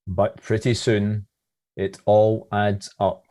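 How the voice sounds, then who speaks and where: A man's voice: lowish voice, completely flat delivery, takes it slowly, warm tone. A man reading a scripted devotional reflection as one of a church's readers.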